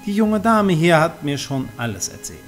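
A man playing an authoritative, formal reeve in a fantasy audio drama, speaking deliberately and with command. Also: fairly high pitch, big pitch swings, soft background music. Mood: formal, measured. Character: commanding male reeve on horseback, brisk and authoritative.

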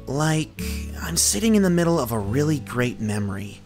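A man's voice: mid-range voice, sing-song, moderate pace. A man voicing a young, easygoing cartoon guy with a musing, earnest and slightly hesitant way of talking. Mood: wistful, content. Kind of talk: cartoon dub voice acting. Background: soft background music with plucked guitar.

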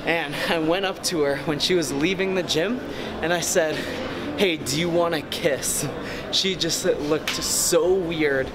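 A man's voice: on the high side, a little slow, heavy background noise. A man in his twenties chatting casually to camera as a vlog host, with a jokey, bragging manner. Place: large busy gym indoors. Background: loud music.

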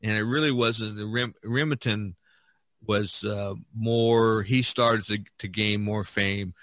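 Bright-voiced man telling stories casually. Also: lowish voice, fairly even intonation, slow, no room sound, studio quality. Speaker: podcast host. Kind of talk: casual conversational storytelling.

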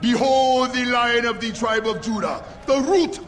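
An actor playing a fervent, gun-loving former warlord turned preacher in a game, theatrical, swinging from hushed to thundering. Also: male voice, extremely high pitch, measured pace, faint music behind. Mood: fervent, exalted. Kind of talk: scripture-quoting sermon in a game cutscene.

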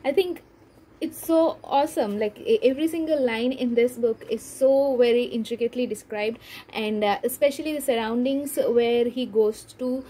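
A woman's voice: a little high, normal speed, dark tone. A young woman giving a casual book review to camera, thinking aloud hesitantly in a room at home. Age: young adult. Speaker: book vlogger. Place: small room at home, indoors.

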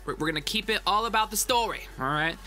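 A man's voice: high voice, animated, quick pace, pushed and bright. A man in his thirties with a head cold, chatting loosely with his stream chat from a dim home room. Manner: loose, rambling, talks straight to chat. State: stuffed up with a cold. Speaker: music livestreamer. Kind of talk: casual livestream chat. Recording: added reverb over guitar music.

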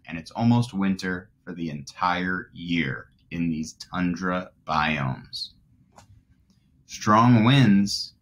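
A man in his twenties, a teacher reading aloud to young children and explaining as he goes, deliberately stressing key words. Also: deep voice. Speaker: first grade teacher.

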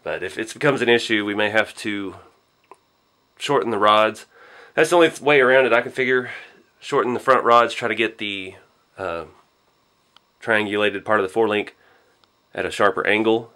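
Guy kinda hoarse, low voice, rambly and laid-back, lots of um and i dunno, garage workshop. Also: normal speed, long pauses, pushed and bright. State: hoarse from a cold. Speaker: RC hobby channel host. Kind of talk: casual build walkthrough.

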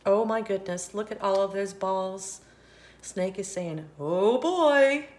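A woman reading a picture book aloud for children, expressive, with questioning asides to young listeners. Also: medium pitch, unhurried, a little hiss or hum. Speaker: children's story reader and host.

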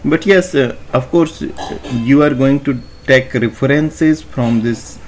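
A man talking through a screen-recorded website tutorial in an unhurried, explanatory way, with many fillers and restarts. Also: medium pitch, darkish tone, soft background music. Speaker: tutorial narrator.

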